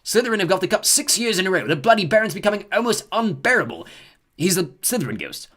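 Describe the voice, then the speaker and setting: A man's voice: high-pitched, sing-song, quick pace, a bit bright, pitched above the performer's own voice, heavily acted. A man voicing a centuries-old male ghost who is genteel, stiff and easily miffed.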